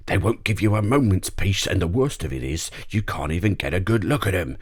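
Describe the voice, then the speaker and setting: Guy doing a big grumpy gorilla from a kids storybook, low voice, bossy and impatient, blustery. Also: lively intonation, fairly quick, breathy edge, no room sound, studio quality. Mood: exasperated. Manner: blustering, barking orders and threats. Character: huge fierce male gorilla, impatient, itchy, bossy. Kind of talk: storybook character voice.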